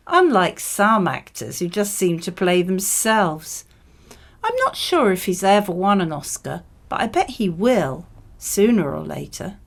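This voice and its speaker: A woman, a native English speaker, reading model speaking-exam answers in a conversational, measured and explanatory way. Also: medium pitch.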